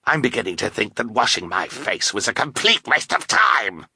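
A man voicing a vain, menacing male villain in a storybook, with a raspy, gravelly voice and a bellowing, theatrical manner. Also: mid-range voice, big pitch swings, normal speed, bright, forceful tone, dry recording, studio quality, pitched above the performer's own voice, exaggerated voice. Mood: exasperated.

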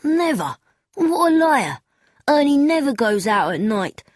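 A woman's voice: high voice, very animated, a little slow, no echo, clean studio sound, pitched above the performer's own voice. A woman reading aloud, voicing a nervous young boy who insists and protests eagerly. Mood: protesting.

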